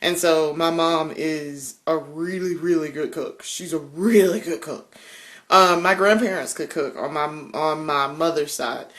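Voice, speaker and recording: A woman's voice: low-pitched, measured pace, a bit bright. A young woman from South Carolina talks in a thick Southern drawl as she cooks in her home kitchen. She chats loosely, with plenty of ums, frequent laughs and easy swearing. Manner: chatty, laughs often, swears freely, lots of ums. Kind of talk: casual vlog chat while cooking.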